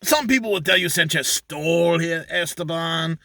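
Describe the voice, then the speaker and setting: A man's voice: fairly high pitch, moderate pace, creaky, pushed and bright, dry recording, studio quality. A man voicing a crude, boastful male donkey handler, rambling about himself in the third person in a comic monologue. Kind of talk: comedic character monologue.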